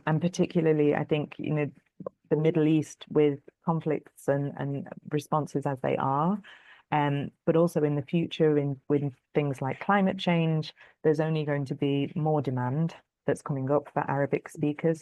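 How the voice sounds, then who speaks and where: A woman's voice: sits low, dark tone, no room sound, lo-fi sound. Thoughtful woman on a video call. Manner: thoughtful, many fillers and "kind of". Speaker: public health lead answering career questions. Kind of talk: webinar Q&A answers.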